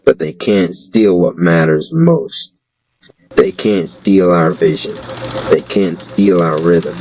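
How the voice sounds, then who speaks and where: A man narrating as a defiant leader of a rap tribe in an audio drama. The voice is very low and unhurried, with commanding conviction, over clear background noise. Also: animated, a little mellow, low-quality recording. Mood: rallying, defiant. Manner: commanding, rousing, full of conviction. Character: defiant male rap tribe leader rallying his followers.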